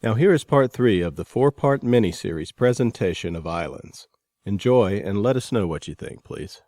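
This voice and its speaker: A man's voice: lowish voice, expressive, moderate pace, warm tone, faint music behind. A man hosting a podcast, making a scripted announcement between segments. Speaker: podcast host.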